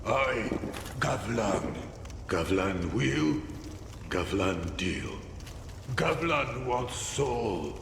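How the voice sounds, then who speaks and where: An actor playing a gruff, eager male trader in a fantasy video game, speaking in broken, clipped phrases. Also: fairly low pitch, very animated, drawn-out words, long pauses, reverberant, long reverb tail, far from the mic, noisy background, full character voice. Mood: greedy, bargaining. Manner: broken, clipped speech in third person.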